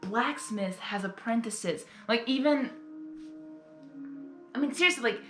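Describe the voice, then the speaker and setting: A woman's voice: on the high side, measured pace. A woman hosting a casual reaction video, rambling and exclamatory, often repeating her phrases. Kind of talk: casual emotional reaction commentary. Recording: roomy sound with faint music. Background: faint music.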